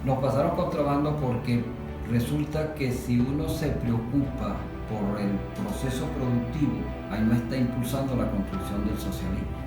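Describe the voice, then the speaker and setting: A man with a Venezuelan accent reflecting analytically in a halting way, often circling back to rephrase. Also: mid-range voice. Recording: very echoey, distant mic, music behind.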